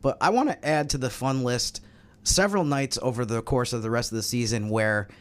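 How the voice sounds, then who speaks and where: A man's voice: mid-range voice, a little fast. An American man co-hosting a sports podcast, giving his opinions in casual discussion. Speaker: sports podcast panelist and co-host.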